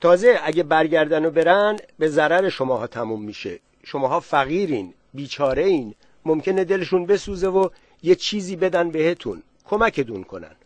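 A cheerful, sly guide, as a narrator puts him on, smoothly persuading wary villagers. His voice rides higher and louder than the narrator's and is recorded close and dry. He talks in an easy, coaxing manner. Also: lively intonation, no room sound, natural voice.

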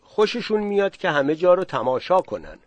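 A man's voice: sits high, very animated, dry recording, pitched above the performer's own voice. A man doing a sly, cheerful guide. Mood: coaxing.

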